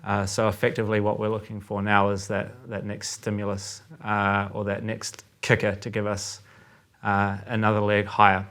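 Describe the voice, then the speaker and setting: A man with a New Zealand accent, a trader discussing currency markets as a podcast guest in a measured, thinking-aloud way. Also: fairly low pitch, completely flat delivery, fairly bright tone.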